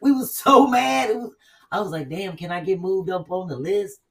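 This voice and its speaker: A woman hosting a casual chat, talking in an animated, blunt, profane way with quick asides. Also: on the low side, far from the mic. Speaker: host.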